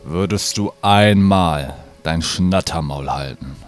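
A man's voice: low voice, takes it slowly, soft background music. An actor playing a stern, greedy old druid, curt and commanding, absorbed in his magic. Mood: irritated.

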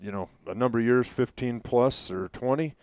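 A man's voice: medium pitch, normal speed, a little mellow, dry recording. A middle-aged man you'd hear steering an outdoorsmen's podcast around a table, up close and dry. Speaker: podcast co-host. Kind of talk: casual hunting podcast discussion. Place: small wood-panelled room, around a table. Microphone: headset mic.

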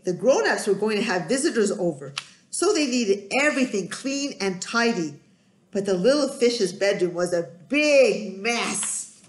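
Female children's storyteller, middle-aged. Highish, very animated, emphatic delivery in a roomy home recording. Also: slight background noise. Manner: expressive, emphatic, sing-song delivery for young children.

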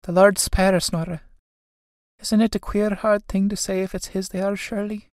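A woman's voice: fairly low pitch, steady tone, a little fast, dry recording, studio quality, pitched above the performer's own voice, lightly acted. A woman playing an anxious, scolding young Irish elder sister in a stage drama, speaking in an earnest, urgent way. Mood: dread.

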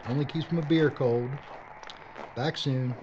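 A man's voice: medium pitch, steady tone, slow pace, close to an everyday voice. Man playing blunt, determined action hero. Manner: blunt, matter-of-fact bravado. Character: gruff, determined male filmmaker turned action hero. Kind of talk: horror adventure audio drama acting. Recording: dull audio with loud background noise.

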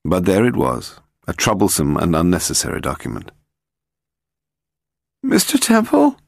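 A man with a British accent reading a detective story as scripted narration, in a measured, dramatic storytelling manner. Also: sits low, animated, gravelly, no room sound, studio quality. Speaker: audiobook narrator.

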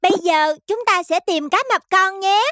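A woman's voice: extremely high pitch, moderate pace. A woman voicing a helpful, childlike little fox explorer in a children's cartoon, exclaiming and encouraging. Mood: cheerful.

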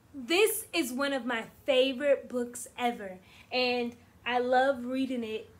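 A young woman teacher reading a picture book aloud to children in an animated, deliberate, encouraging way. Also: a little high. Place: small indoor room against plain backdrop.

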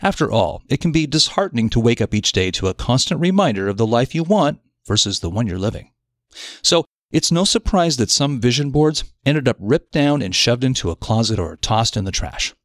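A man reading a scripted self-development article aloud in a measured, articulate, explanatory manner. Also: mid-range voice, very animated, no room sound, studio quality. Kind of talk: scripted narration of an audio article.

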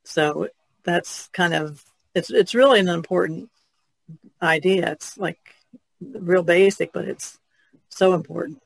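Lady podcast guest, lowish creaky voice, thoughtful casual chat, no room echo. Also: unhurried, pauses often.

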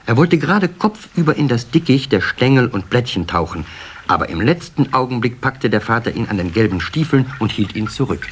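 A man narrating a children's story as part of an audio play, with a husky voice. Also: mid-range voice, sing-song, a little fast, a little hiss or hum.

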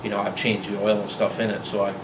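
A man in his forties talking casually to camera about his old truck, relaxed and rambling with lots of fillers and restarts, filmed in a garage. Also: lowish voice, fast. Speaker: vlogger. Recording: roomy garage with loud hum.

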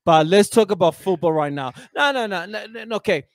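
A man from England in his thirties sits at a desk mic in a small studio and talks football with blunt, emphatic opinions. His voice sits high for a man, and the sound is close and dry, with no room around it. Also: lively intonation, brisk, no room sound. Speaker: football pundit. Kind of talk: casual football chat. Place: small indoor studio with a backdrop.

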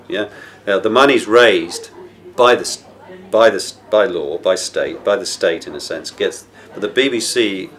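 A middle-aged man with a low voice gives a documentary interview. He explains as he thinks, rephrasing and circling back in a reflective manner. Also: fairly bright tone. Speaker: broadcaster.

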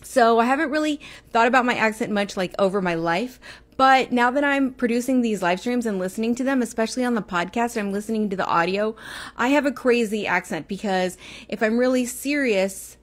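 A woman in her forties with a Texas accent chats loosely on her livestream. Her vowels sometimes slide toward the Midwest, and she wanders between topics with quick asides to viewers. She talks into an earphone headset mic in a small room. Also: on the high side. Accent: Texas, with Midwestern turns. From Texas, United States. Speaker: livestream host. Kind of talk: casual livestream chat. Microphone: earphone headset mic, phone camera close.

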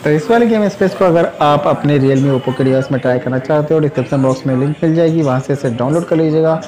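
Higher-voiced male tech reviewer. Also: quick pace, crowd noise. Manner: chatty, repetitive explaining with English tech terms. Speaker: tech channel host. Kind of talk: phone software review voice-over.

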